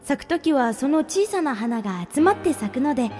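Radio drama pen pal: actress as sweetly polite, secretive young woman, high lilting delivery, heavily reverberant. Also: very animated, moderate pace, a little mellow. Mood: cheerful. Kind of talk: radio drama dialogue, reading letters aloud. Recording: long reverb, music behind.

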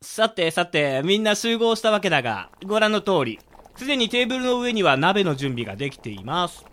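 A man's voice: high voice, very animated, fairly quick, fairly bright tone. A man playing a boisterous young man in a radio drama, announcing things theatrically as the ringleader of a game. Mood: playful. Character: boisterous young man gleefully hosting a dark hot-pot game. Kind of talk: amateur Japanese radio drama acting.

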